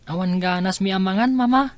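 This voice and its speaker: Glum kid in a radio drama woman doing it, mid pitch, subdued, bit whiny, dry recording. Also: fairly quick, no echo, lightly acted. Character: glum, honest younger schoolchild sibling. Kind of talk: Ilocano radio drama acting.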